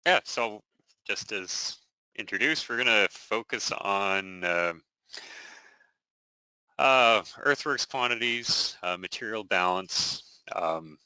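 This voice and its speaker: Slow, bright-voiced man with fillers. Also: fairly low pitch, expressive, smooth, dry recording, poor audio. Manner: slow, hesitant, many fillers. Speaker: software demonstrator. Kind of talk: unscripted technical demo.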